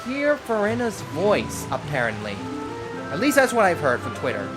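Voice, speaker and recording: Game streamer, mid-pitched and animated, slangy casual commentary over clear background music. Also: moderate pace, poor audio.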